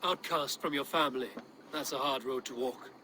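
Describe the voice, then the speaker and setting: A man plays a stern Viking leader in a game drama. The delivery is measured and commanding, with a tense, forceful edge. There is faint ambience behind the voice. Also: medium pitch, a little hiss or hum, natural voice. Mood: grave, probing. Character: stern, blunt, steady Viking warrior chieftain, adult male. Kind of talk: scripted video game drama acting.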